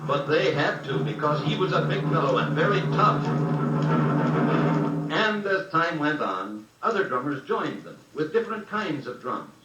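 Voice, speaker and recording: A man narrating a scripted children's story in a dramatic, deliberate way, on an old 78 rpm record. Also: a little high, expressive, echoey room, recorded from a distance, poor audio. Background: orchestral music.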